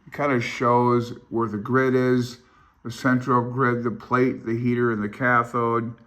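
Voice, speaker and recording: A man's voice: medium pitch, flat delivery, a little slow, a bit bright. An older man gives a casual, unscripted read-along explanation as a hobbyist presenter, rambling, thinking aloud and openly unsure of himself. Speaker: amateur radio hobbyist presenting a handbook.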